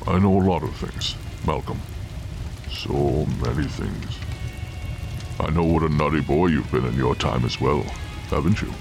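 Man playing a low, brooding, world-weary Santa Claus. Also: gravelly, heavily acted. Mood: knowing, menacing. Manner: brooding, slow, taunting. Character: weary, bitter, drunken armed Santa Claus, centuries old. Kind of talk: audio drama acting. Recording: music and rain under the voice.

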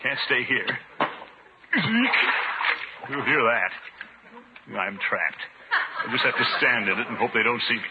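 A man's voice: medium pitch, animated, measured pace, pauses often, natural voice. A man playing pompous, swooping old-radio bachelor. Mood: flustered. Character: pompous middle-aged bachelor, flustered, sentimental, wistful about women. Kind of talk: 1950s radio comedy acting. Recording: noisy, muffled old radio broadcast.

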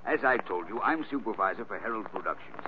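A man acts an earnest studio supervisor in a vintage radio play. He is businesslike and persistent, talking fast with lively swings in a lowish voice. The sound is dull and noisy. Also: lightly acted. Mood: persuasive. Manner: businesslike, persuasive. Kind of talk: old-time radio drama acting.

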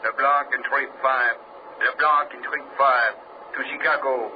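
An actor playing an urgent, alarmed airline co-pilot in a 1940s radio horror drama. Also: male voice, medium pitch, fairly even intonation, measured pace, natural voice. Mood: brisk. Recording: noisy, muffled old radio transmission.

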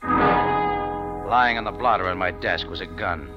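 An actor playing a wry, tough American cafe owner and reluctant sleuth, narrating and acting in a 1940s radio drama. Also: male voice, low voice, takes it slowly, muffled recording. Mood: tense narrating. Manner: wry, laconic, hard-boiled. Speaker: lead character and narrator. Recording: old radio recording with music.

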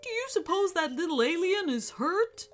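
A woman's voice: very high voice, very animated, moderate pace, soft background music, pitched above the performer's own voice. A woman voicing an eager, curious, wide-eyed teddy bear in a children's storybook, given to exclamations. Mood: concerned.

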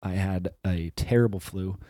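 A man with a low, mellow voice hosts a podcast in a loose, rambling way, restarting sentences and swearing freely. His voice is slightly hoarse from a recent flu, and the recording is dry and close. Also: moderate pace, no echo. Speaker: podcast host. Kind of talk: casual solo intro monologue.

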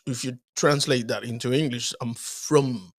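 A man's voice: mid-range voice, fairly even intonation, measured pace. A man from the Dominican Republic co-hosting a podcast, chatting casually and often repeating words as he starts a thought. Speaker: podcast co-host. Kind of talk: casual conversation.

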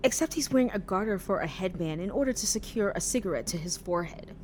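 Chatty, paranoid young woman played by an actress, earnest about odd notions, very lively pitch, audio drama over faint rumbling noise. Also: fast talker, natural voice. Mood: earnest, conspiratorial. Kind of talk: audio drama acting, conversational.

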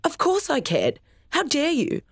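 A woman reads a middle-aged father in an audiobook, indignant and defensive. Her voice stays low and dry, close to the mic. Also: animated, fairly quick, darkish tone, no room sound.

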